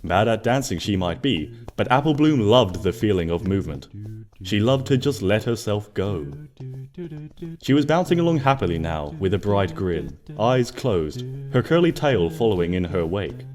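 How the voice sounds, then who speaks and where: A man narrating a scripted story expressively, in a gentle storybook manner. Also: fairly low pitch, big pitch swings, normal speed. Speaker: fan fiction narrator.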